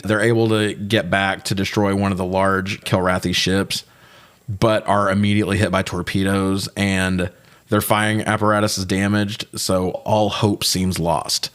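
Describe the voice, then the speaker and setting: Guy with a lowish voice doing a casual film recap podcast, swears a lot, jokes around. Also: normal speed. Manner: profane, jokey, animated when riled. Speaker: podcast co-host. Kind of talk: casual movie recap chat.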